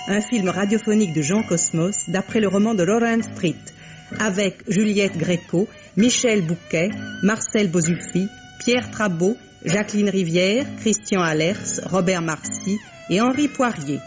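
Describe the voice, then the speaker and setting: A man reading scripted credits as the announcer of a vintage French radio mystery show. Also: high voice. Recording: dull vintage radio sound, music behind.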